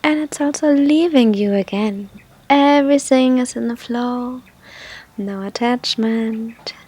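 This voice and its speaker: A woman guiding a meditation in a soft, breathy, unhurried manner, with gentle instructions and long pauses. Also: fairly high pitch. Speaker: meditation guide.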